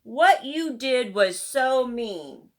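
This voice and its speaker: A sensitive little schoolgirl from a storybook, acted out by a woman reader, plaintive and earnest yet brave. Her voice sits a little high and moves up and down in a very animated way. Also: drawn-out words. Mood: angry, determined. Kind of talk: children's storybook character voice.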